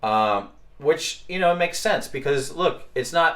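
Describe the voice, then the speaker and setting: A man's voice: a little high, lively intonation, a little slow, a bit bright. A man hosting a podcast, talking casually with lots of fillers and rhetorical questions. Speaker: podcast host. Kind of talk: casual discussion.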